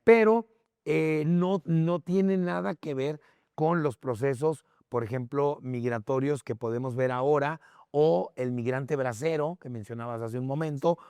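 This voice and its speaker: A man's voice: mid-range voice, darkish tone, smooth, dry recording, studio quality. A man with a Mexican accent explaining things as a podcast guest in a conversational, didactic way, with short tag questions to check in. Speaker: guest expert on history.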